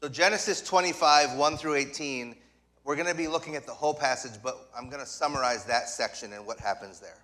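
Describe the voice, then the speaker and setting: A man preaching a sermon in short phrases, pausing for an interpreter. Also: medium pitch, pushed and bright, rough recording. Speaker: guest preacher. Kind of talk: sermon in English with live interpretation. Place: church sanctuary.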